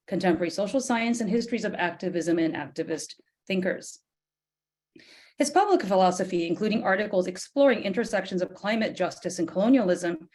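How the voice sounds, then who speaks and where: A hoarse woman on a video call, originally from Japan, introducing a guest and asking polite, thoughtful questions in an academic book talk. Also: medium pitch, darkish tone. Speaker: discussant.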